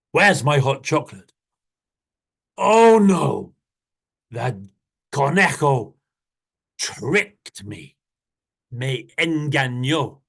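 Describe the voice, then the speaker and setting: Hungry storybook jaguar, low, growling and slow, as a storyteller puts him on. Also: gravelly, breathy edge, exaggerated voice. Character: big hungry menacing jaguar. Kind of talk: bilingual children's storytelling.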